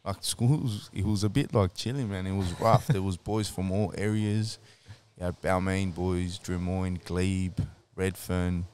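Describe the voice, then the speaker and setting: A man who grew up in Sweden and Chile, with Swedish as his first language, talking casually as a guest in an interview and often saying "man" and "boom". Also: steady tone, unhurried, few pauses, a little mellow, smooth. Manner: laid-back, slangy, says "man" and "boom" often. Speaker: guest, striking coach.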